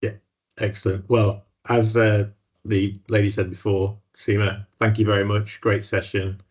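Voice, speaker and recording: Deep, flat, husky man hosting. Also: unhurried. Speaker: workshop host. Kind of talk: hosting an online workshop. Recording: dull lo-fi audio.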